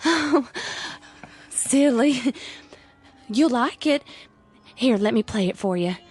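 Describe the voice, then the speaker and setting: Slow-talking, high-voiced young country woman. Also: animated, a little mellow, breathy edge, faint music behind, exaggerated voice. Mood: sweet, nervous. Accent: rural American. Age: young adult. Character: stubborn, nervous young country sweetheart. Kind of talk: radio drama acting.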